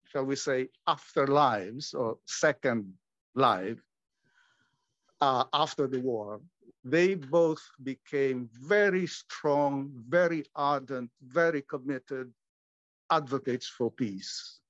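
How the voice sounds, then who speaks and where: An older man speaking at a memorial, measured and earnest, pausing often, talking from a small room at home over a video call. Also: mid-range voice, fairly bright tone, no echo. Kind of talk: slow reflective remembrance. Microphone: video call webcam. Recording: dull lo-fi video call audio.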